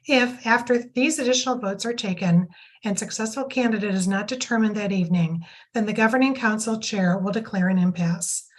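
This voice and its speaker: A hoarse woman reads a bylaw aloud to a board in a steady, careful delivery. Her voice is mid-pitched and strained. She sounds apologetic about her voice. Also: fairly quick. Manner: reading procedural text aloud, apologetic. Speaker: council member reading the rules. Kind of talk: reading a bylaw at a public meeting.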